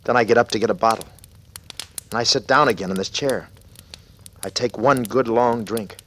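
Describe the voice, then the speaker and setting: An actor playing a brooding, guilty and slightly unhinged man in a halting, dramatic 1940s radio style. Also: mid-range voice, a bit bright, lightly acted. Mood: haunted, grieving. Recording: noisy old radio recording.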